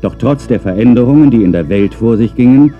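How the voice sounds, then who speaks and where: A man's voice: mid-range voice, lively intonation, a little fast, phone-quality sound, faint music behind. A man narrating a scripted children's audio drama as its storyteller.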